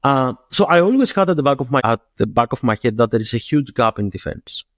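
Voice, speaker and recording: A man from Greece with a Greek accent, explaining things in an interview as a startup founder, often pausing and rephrasing himself. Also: medium pitch, animated, no echo, clean studio sound. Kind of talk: interview answers.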